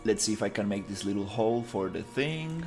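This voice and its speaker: Chill guy on stream, lowish voice, lots of uhs while he works. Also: animated, brisk, over music. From Costa Rica. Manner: laid-back, rambling, many fillers, muttering through his work. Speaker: live streamer. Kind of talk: casual chat while 3D modeling.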